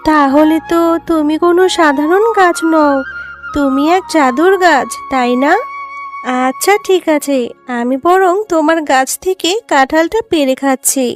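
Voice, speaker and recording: A woman voicing a poor, gentle jackal mother in a children's cartoon, with an earnest, plaintive manner. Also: sits high, measured pace, soft background music. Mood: plaintive, wondering.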